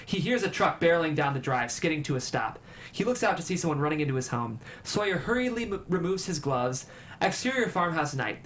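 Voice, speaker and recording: A man's voice: on the high side, very animated, a little fast, a bit bright, slight background noise. A man reading stage directions as narrator at a table read, with dramatic storytelling delivery.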